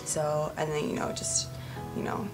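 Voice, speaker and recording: Low-voiced young woman, chatty and rambling. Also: completely flat delivery, measured pace, soft background music, rough recording. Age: 20s. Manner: rambling, many "like" and "you know" fillers. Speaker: actress. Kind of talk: casual interview answers.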